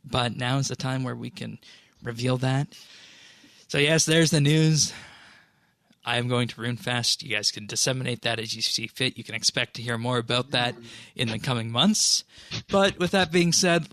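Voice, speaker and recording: A man hosting a podcast, reading out announcements and updates in a measured, businesslike way. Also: mid-range voice, sharp tone, dry recording. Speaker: podcast host.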